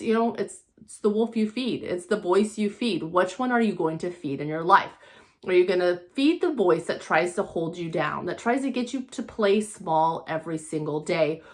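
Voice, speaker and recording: A woman with a fairly low voice talks casually to her audience as a motivational vlogger. Her delivery is conversational and unscripted, and she repeats key phrases to drive each point home. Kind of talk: casual motivational talk to camera.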